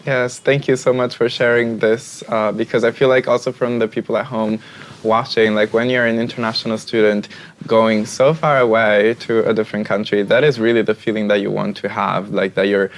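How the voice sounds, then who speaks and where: A man's voice: fairly low pitch, flat delivery. A man hosting a talk show panel, affirming guests and asking follow-up questions. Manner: affirming, drawn-out phrasing. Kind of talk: moderating a panel.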